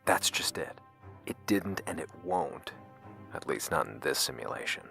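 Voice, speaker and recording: A man playing a grave scientist in scripted audio drama dialogue, speaking deliberately with hesitant pauses. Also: a bass voice, lively intonation, vocal fry, pushed and bright, full character voice. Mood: grave, confessional. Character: grave, secretive male scientist and his questioning double. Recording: music under the voice. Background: music.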